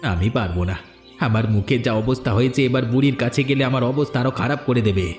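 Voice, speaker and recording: A greedy, reluctant village henchman played by a man complains in a mid-pitched voice. The delivery is hesitant cartoon drama, heard in a roomy echo with music underneath. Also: a little fast, a little mellow, close to an everyday voice. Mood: grudging. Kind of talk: dramatic fairy-tale cartoon voice acting. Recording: echoey studio dub with background music.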